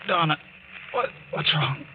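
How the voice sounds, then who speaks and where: A man's voice: fairly high pitch, unhurried, natural voice. A man playing a groggy, confused man with a sore throat in a 1940s radio drama. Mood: dazed. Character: groggy, confused man coming round after choking. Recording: muffled, noisy 1940s radio recording.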